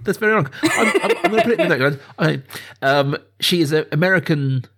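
A man's voice: a little high, animated, fast talker, fairly bright tone. Chatty man hosting a film podcast. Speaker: podcast host. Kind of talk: casual film discussion.

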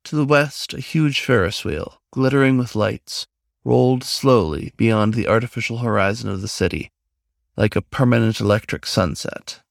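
A man's voice: medium pitch, moderate pace, vocal fry, no echo, studio quality. A man narrating a scripted short story as its author. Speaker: author reading own story.

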